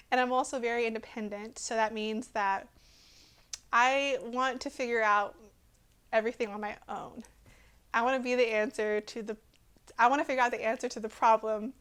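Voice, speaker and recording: A woman's voice: fairly high pitch, fairly bright tone. A woman in her twenties with an American accent, giving a personal testimony to camera in an earnest, hesitant way. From Texas, USA. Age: 20s.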